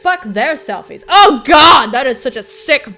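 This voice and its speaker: A woman hosts a gaming video in a high, very animated voice. Her commentary is casual and playful with some swearing, and music runs behind it. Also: a little slow. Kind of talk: casual let's play commentary, reading game text aloud.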